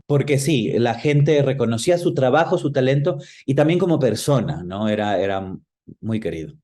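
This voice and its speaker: A middle-aged man with an Ecuadorian accent, reminiscing conversationally as an interview guest and pausing to search for words. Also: medium pitch, animated, darkish tone. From Quito, Ecuador.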